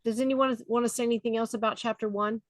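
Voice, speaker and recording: A woman's voice: on the high side, steady tone, quick pace, no echo. A woman leading a study group, reading scripture aloud and explaining it conversationally. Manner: conversational, with fillers between readings.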